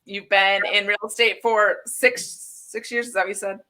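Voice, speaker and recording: A woman from Wisconsin hosts a casual interview, chatty and quick to laugh. Her voice is bright and clear. She keeps the talk light and friendly. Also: medium pitch, fairly even intonation, poor audio. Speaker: host.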